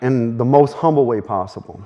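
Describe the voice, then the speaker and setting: A man's voice: medium pitch, dull audio. A man preaching a sermon earnestly, his voice a little strained, speeding up in bursts. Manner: earnest, with fast bursts and soft laughs. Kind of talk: sermon teaching.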